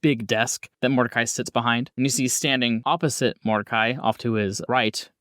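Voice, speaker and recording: A man's voice: medium pitch, steady tone, normal speed, a bit bright, no room sound. A man narrating a tabletop roleplaying game as the game master.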